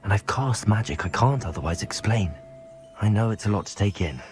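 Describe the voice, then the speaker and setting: A sincere young student hero in a video game voiced by a man. The delivery is earnest and understated but moves freely up and down in pitch. Music plays clearly behind it. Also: animated, a little fast, darkish tone, natural voice. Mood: confiding, earnest. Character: sincere, plucky teenage schoolboy hero. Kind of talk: video game cutscene acting.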